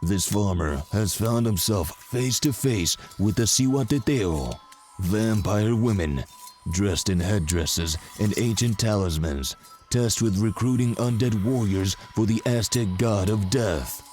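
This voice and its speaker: A deep-voiced, grandiose narrator of a pulp adventure audio drama. His delivery is portentous and theatrical, set over a strong bed of music. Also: expressive, measured pace, darkish tone. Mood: ominous. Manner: portentous, ominous.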